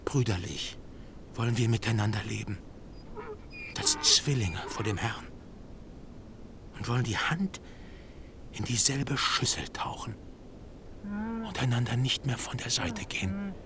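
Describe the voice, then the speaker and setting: A boisterous, shaggy biblical brother for a radio drama. His delivery is theatrical and unhurried, with big leaps in pitch. A faint noise sits behind the voice. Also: expressive. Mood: effusive, brotherly. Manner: laughing, theatrical, drawn-out delivery. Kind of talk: German literary radio drama acting.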